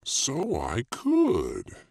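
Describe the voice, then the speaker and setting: A man voicing a vain, greedy male tiger in a storybook reading, with a slow, menacing manner. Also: wildly swooping pitch, gravelly, a little mellow, no room sound, studio quality, exaggerated voice. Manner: slow, deep, menacing growl of a voice.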